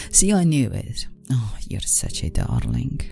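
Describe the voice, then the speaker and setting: A woman voicing a genteel, doting vampire aristocrat in an ASMR roleplay, soft and breathy, coaxing, often sinking into a whisper. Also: very deep voice, exaggerated voice. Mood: doting, playful.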